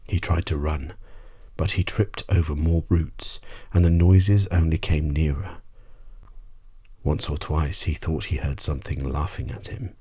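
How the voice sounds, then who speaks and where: A man narrating a bedtime story audiobook in an ASMR style, husky and gently measured. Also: a bass voice, fairly even intonation.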